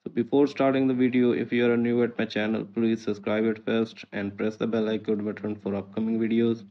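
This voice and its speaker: A man's voice: fairly low pitch, little pitch movement, a little fast. A man hosting a tech tutorial, reading out scripted step-by-step instructions. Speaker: host of a tech tutorial channel. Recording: muffled, dull lo-fi audio.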